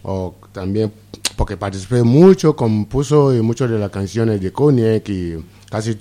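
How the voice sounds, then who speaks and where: Nigerian guy, yoruba first language, warm mellow tone, keeps restarting words on a radio chat. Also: lowish voice, animated. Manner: halting, repeats words and restarts. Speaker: guest musician.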